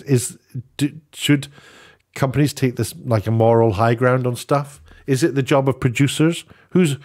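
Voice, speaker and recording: A man's voice: fairly low pitch, a little mellow. A man giving casual, rambling opinion commentary as an online video host, often posing rhetorical questions, slightly sniffly.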